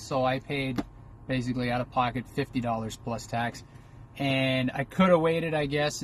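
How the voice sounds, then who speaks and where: Male vlogger, trucker type: casual, rambling, folksy explainer, mid-pitched, with vehicle ambience behind him. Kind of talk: casual unboxing vlog chat. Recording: clear background noise, vehicle ambience.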